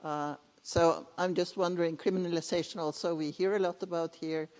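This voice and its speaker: A woman with a Norwegian accent moderating a conference panel, asking questions in a measured, hesitant way. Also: lowish voice, fairly even intonation, a little mellow. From Norway. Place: large conference hall. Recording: reverberant conference hall.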